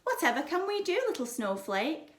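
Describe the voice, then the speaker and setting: A woman's voice: high voice, very animated, moderate pace, fairly bright tone, voice pushed up. A woman voicing a doting storybook mother who coos and gushes over her child. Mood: adoring. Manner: cooing, gushing. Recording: roomy, echoing sound.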